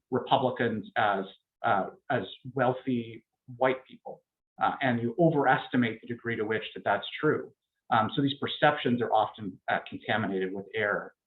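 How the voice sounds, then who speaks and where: Man lecturing over a tinny line. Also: on the low side. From Canada. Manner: measured and explanatory, hedging with frequent fillers. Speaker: political science lecturer. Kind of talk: academic lecture. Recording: tinny phone-like lecture recording.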